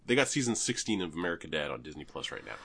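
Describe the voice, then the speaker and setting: A middle-aged man hosting a podcast, chatting casually with an animated, joking manner and frequent laughs. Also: extremely low pitch, rapid-fire, vocal fry. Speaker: podcast host. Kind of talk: casual chat.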